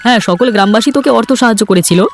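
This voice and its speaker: A man voicing a good-natured, grumbling villager in a fairy-tale cartoon, chatty and quick to complain. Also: high-pitched. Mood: friendly teasing. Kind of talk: Bengali cartoon voice acting. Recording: background music under the voice.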